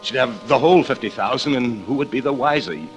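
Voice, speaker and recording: An actor playing a desperate killer in hiding who fears his wife, in halting, theatrical 1940s radio suspense style. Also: mid-range voice, animated, lightly acted. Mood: haunted, frantic. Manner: theatrical, halting, repeats words in dread. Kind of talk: 1940s radio drama acting, first-person suspense narration. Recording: old radio recording with organ music.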